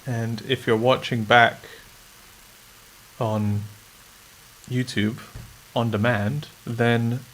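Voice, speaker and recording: A man's voice: mid-range voice, steady tone, drawn-out words, pauses often, fairly bright tone, poor audio. A man chatting casually on a live stream while coding a game, muttering his code aloud. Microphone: desk streaming mic. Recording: faint background noise, keyboard typing.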